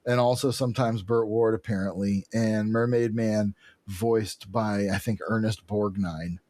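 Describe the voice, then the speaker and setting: A man's voice: on the low side, steady tone, measured pace, a little mellow, no echo. Casual male podcast co-host, dry close sound. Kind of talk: casual chat.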